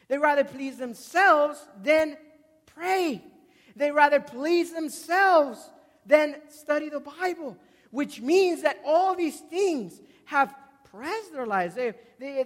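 A man in his twenties preaches in a very high-pitched voice, ringing through a hall with long reverb. His delivery is emphatic, repeating key words and moving between loud stresses and quieter asides. He often puts questions to the congregation. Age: 20s. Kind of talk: sermon with questions put to the congregation. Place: large indoor hall before a congregation.

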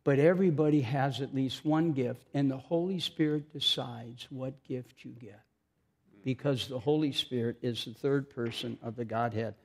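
A man's voice: medium pitch, moderate pace, darkish tone. A man preaching an informal sermon, chatty and self-mocking, joking with the congregation between scripture readings.

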